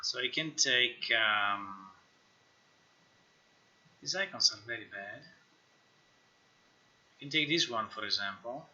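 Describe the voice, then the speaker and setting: A man's voice: mid-range voice, animated, takes it slowly, pauses often, bright, forceful tone, dull audio. A man who is a non-native English speaker, thinking aloud casually on a live stream, repeating himself and swearing as he works. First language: not English. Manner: muttering to himself, repetitive, sweary. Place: indoors at a computer.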